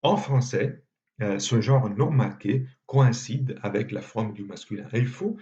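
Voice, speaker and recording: A man with an Italian accent gives a measured university lecture in a low, mellow voice. He pauses often with small hesitations as he works through each point. The recording is dull and lo-fi.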